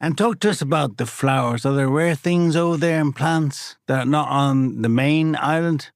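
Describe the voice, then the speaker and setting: A man interviewing a guest, asking relaxed, easygoing questions. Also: a little high, moderate pace, no echo, studio quality. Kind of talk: conversational interview.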